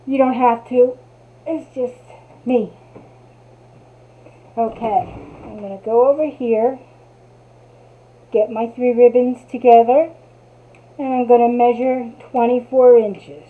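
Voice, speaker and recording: A woman's voice: fairly high pitch, fairly even intonation, takes it slowly, pauses often. A woman from New Jersey with a Jersey accent, casually demonstrating a craft and chatting to herself as she works. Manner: chatty, talks to herself while working. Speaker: craft tutorial host. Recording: tinny, phone-like audio.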